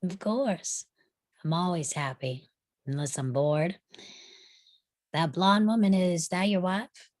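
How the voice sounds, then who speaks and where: Bold flirtatious frontier woman, low close voice actress. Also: slow pace, long pauses, darkish tone, no room sound. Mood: self-assured, probing. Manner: teasing, self-assured. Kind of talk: live table read acting of a western screenplay.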